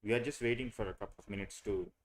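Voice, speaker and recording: A man's voice: on the low side, fairly even intonation, rapid-fire, slight background noise. A young man, teaching a live online class on a video call, hesitant with many fillers and restarts. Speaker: online course instructor.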